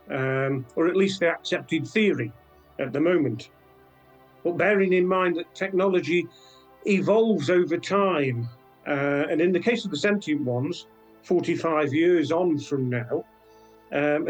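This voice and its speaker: An older British man answers interview questions in a fairly high voice. He is measured and hesitant, feeling his way through each explanation, with music faintly behind him. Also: rough recording. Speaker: interview guest, science fiction author.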